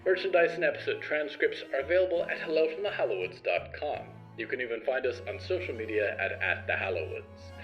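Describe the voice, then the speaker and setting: A man narrates from a script for an audio fiction show. His delivery is theatrical and deliberate, with a lively range of pitch, and music plays behind him. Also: muffled recording.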